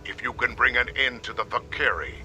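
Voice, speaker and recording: An actor playing a stern alien warrior leader in a video game, giving orders with formal authority. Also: male voice, mid-range voice, a little fast, pushed and bright, slight background noise. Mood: stern, commanding. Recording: faint music behind the voice.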